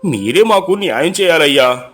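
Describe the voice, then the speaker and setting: A man voicing an indignant farmer who complains loudly and insistently. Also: fairly high pitch, expressive, moderate pace, close to an everyday voice. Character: angry farmer accusing neighbour, loud and indignant.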